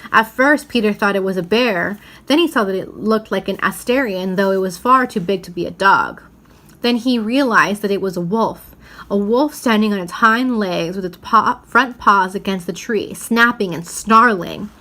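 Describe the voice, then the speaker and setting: A woman reads a children's novel aloud in an expressive storytelling style, leaning into the dramatic words. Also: mid-range voice, normal speed. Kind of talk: storybook read-aloud narration.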